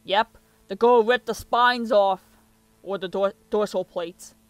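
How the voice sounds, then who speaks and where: A man's voice: high voice, fairly even intonation, slow, background noise. A young man giving casual reaction commentary and reading captions aloud, with muttered asides, on a close mic in a small room. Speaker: reaction video host.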